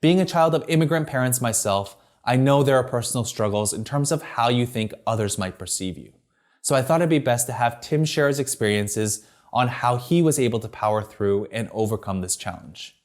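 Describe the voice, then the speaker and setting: A man presenting a scripted video marketing tutorial from a teleprompter in a clear, structured, upbeat way. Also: mid-range voice, fairly quick.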